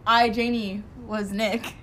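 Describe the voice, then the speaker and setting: A woman's voice: mid-range voice, fairly bright tone, slight background noise, low-quality recording. A young woman co-hosting a casual podcast, playful and teasing, often jumping in. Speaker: podcast co-host. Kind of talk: casual chat.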